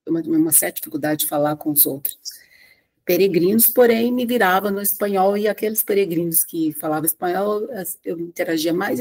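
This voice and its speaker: Husky-voiced Brazilian woman giving earnest advice. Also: lowish voice, a little mellow. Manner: earnest, advice-giving, frequent "né?" tags and restarts. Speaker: pilgrim sharing trail tips. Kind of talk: informal live presentation. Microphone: webcam or computer mic on a live stream.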